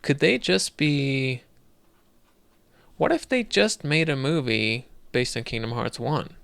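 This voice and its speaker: A man's voice: medium pitch, expressive, slow pace, vocal fry, fairly bright tone, smooth, no room sound. A man co-hosting a podcast in casual chat, thinking aloud as he talks. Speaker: podcast co-host.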